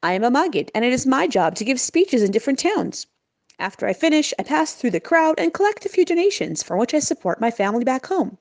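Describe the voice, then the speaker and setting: A woman voicing a friendly, persistent male travelling preacher in a storybook tale, with animated, courteous persuasion. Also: fairly high pitch, moderate pace. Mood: cheery persuading.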